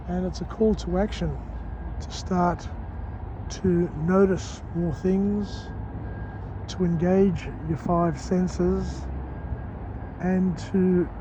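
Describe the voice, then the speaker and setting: Hoarse man, high voice, slow measured delivery, vlogging on a walk, muffled audio with clear street traffic behind. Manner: slow and deliberate, in short phrases. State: hoarse, croaky voice. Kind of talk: walk-and-talk book summary vlog. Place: outdoors on a city street at dawn.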